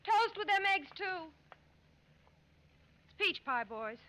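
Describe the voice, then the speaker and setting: An actress with an American accent playing a brash, hungry, nosy Texas diner waitress in an old radio drama. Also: female voice, very high voice, sing-song, slow pace, sharp tone, exaggerated voice. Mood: brisk, bossy. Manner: folksy, slangy, nosy, bossy. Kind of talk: 1940s radio acting. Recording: noisy dull old radio recording.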